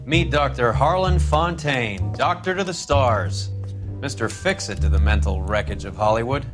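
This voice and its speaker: A man's voice: mid-range voice, expressive, moderate pace, over music, close to an everyday voice. An American man playing a brash, sneering, swaggering 1940s detective in a video game, with a cocky, needling manner. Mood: breezy, dismissive. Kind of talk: scripted game acting.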